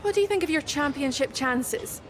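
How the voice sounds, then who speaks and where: An actress playing a brisk, professional female motorsport interviewer in a video game. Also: high voice, lively intonation, some chatter behind, a little hiss or hum. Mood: inquisitive. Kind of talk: scripted post-race interview in a video game.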